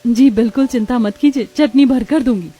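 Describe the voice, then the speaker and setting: A woman's voice: fairly high pitch, brisk, warm tone, breathy edge. A woman voicing a poor, hardworking, tender young mother who sells momos in a cartoon story. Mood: eager, reassuring.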